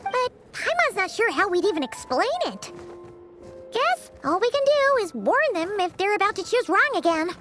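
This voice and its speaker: Tiny chatty fairy sidekick for a game, very high squeaky voice, bouncy intonation, music underneath. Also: female voice, very animated, moderate pace. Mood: unsure, wary. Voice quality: squeaky, childlike. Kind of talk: video game character acting.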